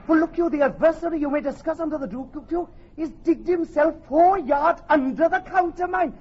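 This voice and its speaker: Fussy, high-pitched Welsh captain. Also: male voice, a little fast. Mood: indignant. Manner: pedantic, repetitive, emphatic, excitable. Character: fussy, argumentative Welsh army captain, pedantic about war. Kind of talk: Shakespearean radio drama acting. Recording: muffled old radio recording, music behind.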